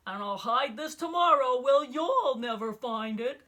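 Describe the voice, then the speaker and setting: A sneering storybook eagle performed by a woman, defiant and villainous. The delivery is loud, bright and forceful. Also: high voice, animated, measured pace, pitched above the performer's own voice, heavily acted.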